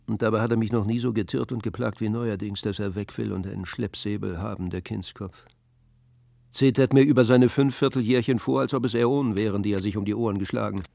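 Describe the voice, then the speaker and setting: A gravelly, bluff senior doctor. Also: male voice, lowish voice, steady tone, fairly quick, mellow, dry recording. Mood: grumbling, dry. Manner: bluff, jocular, patronising. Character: brusque, jovial, slangy senior sanatorium doctor. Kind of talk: German radio play acting.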